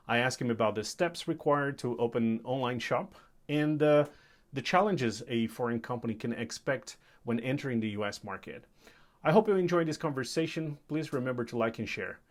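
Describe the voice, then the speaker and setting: Male podcast host and interviewer, medium-pitched voice, presenter delivery. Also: fairly quick. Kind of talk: scripted intro and interview questions.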